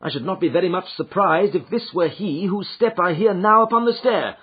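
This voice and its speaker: A man reading an audiobook voices a shrewd, energetic detective who speaks briskly and commandingly, with a husky tone. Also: sits high, muffled recording, pitched above the performer's own voice. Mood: urgent.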